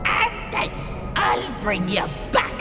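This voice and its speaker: An actress playing a vicious, monstrous old hag in a video game, snarling and shouting threats with menace. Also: female voice, mid-range voice, big pitch swings, slow, vocal fry, heavily acted. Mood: furious. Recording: echoey cavern reverb under loud music.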